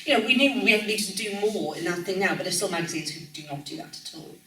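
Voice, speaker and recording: A woman's voice: mid-range voice, animated, fast, fairly bright tone. Welsh woman in her forties, chatty. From Wales. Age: 40s. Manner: chatty, frequent ums and self-corrections, laughs easily. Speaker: music journalist guest speaker. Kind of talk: casual conversational talk. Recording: echoey room, distant mic, faint noise.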